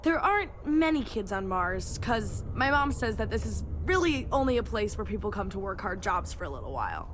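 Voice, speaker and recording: A woman's voice: mid-range voice, big pitch swings, fairly quick, hardly any pauses, fairly bright tone, slight background noise. A woman voicing a chatty, childlike young girl from Mars as video game character dialogue. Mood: wistful. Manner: eager, childlike, rambling.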